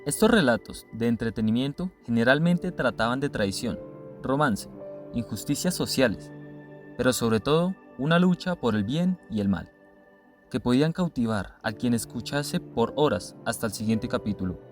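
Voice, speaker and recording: A man's voice: mid-range voice, animated, moderate pace, faint music behind. A man reading a scripted documentary narration.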